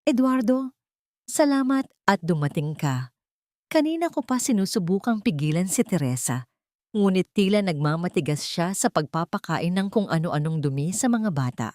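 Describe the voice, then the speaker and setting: Audio drama villainess, played by a woman narrator: scheming lady doctor, soft sighing false concern, close dry sound. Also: lowish voice, lively intonation, normal speed, no room sound, lightly acted. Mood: feigned concern.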